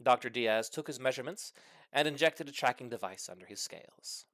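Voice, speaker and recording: A man's voice: mid-range voice, fairly quick, fairly bright tone, no room sound. Guy reading a funny short story, mock serious and dramatic, really dry sound no room. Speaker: story reader. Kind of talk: scripted comic short story narration.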